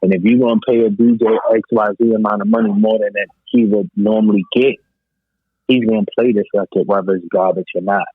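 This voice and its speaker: A man with a low, husky voice comes through a thin, phone-like connection with very little room sound. He talks casually and emphatically as a panelist, circling back on words to press his point. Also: fairly even intonation, quick pace, no echo. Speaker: DJ and podcast panelist. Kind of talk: casual panel discussion about hip-hop. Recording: thin, phone-like call audio.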